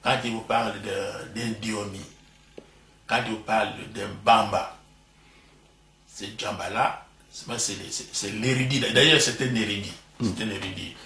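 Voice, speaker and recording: A man's voice: lowish voice, fairly even intonation, measured pace, sharp tone. An older man with a Congolese French accent, talking proudly and expansively as a guest in an interview at home, laughing easily. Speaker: interview guest, retired professor. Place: living room at home. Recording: roomy home recording from a distance.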